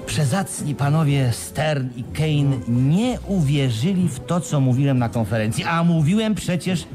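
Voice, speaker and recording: Shrewd, confident swindler; lively intonation, patiently explanatory, radio drama, music behind. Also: male voice, on the high side, unhurried. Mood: smug, explaining. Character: shrewd, self-satisfied male schemer explaining his swindle. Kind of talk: Polish radio play acting.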